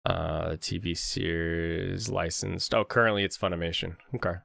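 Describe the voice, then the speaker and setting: A man hosting an anime review stream, chatting casually and rambling, with a jokey, animated manner. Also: sits low, normal speed, gravelly, dry recording.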